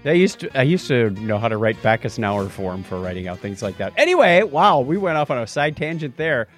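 A man's voice: mid-range voice, big pitch swings, brisk, faint music behind. A man co-hosting a casual podcast, talking in a jokey, teasing way. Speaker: podcast co-host. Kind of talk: casual podcast chat with patron thank-yous.

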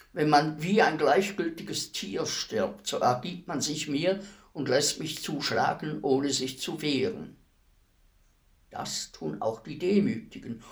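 An actor playing a solemn, courteous executioner in a German radio play, speaking formally and deliberately. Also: male voice, medium pitch. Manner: formal, measured, deliberate delivery.

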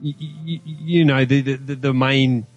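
A man's voice: mid-range voice, steady tone, moderate pace, highs cut off, faint music behind. A man as a podcast guest in casual film discussion, rambling and stammering as he searches for words. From Australia.

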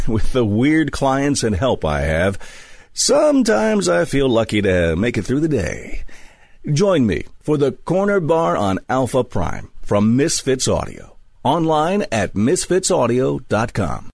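Male actor as put-upon sci-fi bar owner, gravelly, wildly swooping pitch, wry scripted comedy promo. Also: wildly swooping pitch, slow, a little mellow, dry recording, studio quality, full character voice. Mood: wry, inviting. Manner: wry, direct address to listener.

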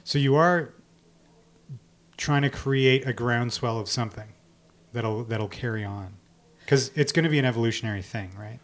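A man's voice: mid-range voice, moderate pace, long pauses. Man interviewing, conversational.

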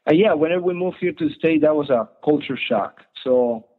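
A man's voice: a little high, fairly quick. A young man from Ecuador, a guest in a casual interview who often restarts his phrases. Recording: tinny phone-like line.

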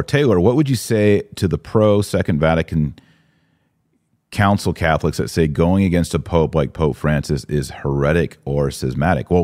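A man's voice: deep voice, mellow, dry recording, studio quality. An American man hosting a live podcast, commenting and answering viewers in an emphatic way, repeating phrases for stress. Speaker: podcast host.

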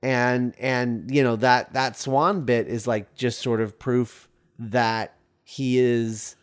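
A man's voice: mid-range voice, steady tone, slow, smooth. A man co-hosting a podcast, casually discussing a film in a rambling way and repeating phrases for emphasis. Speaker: podcast co-host.

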